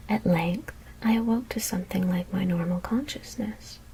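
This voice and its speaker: A woman whispers a scary story in a hushed, deliberate ASMR narration. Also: mid-range voice, a little hiss or hum, poor audio.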